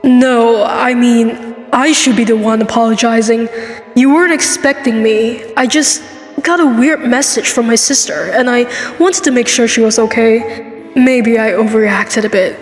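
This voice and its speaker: A young pony princess from a cartoon dub, played by a woman. The voice is on the high side, casual and politely hesitant, with a wary determination. Reverb and light music surround it. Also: steady tone, a little slow, lightly acted. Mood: apologetic, coaxing. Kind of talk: cartoon comic dub acting. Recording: roomy reverb, music behind.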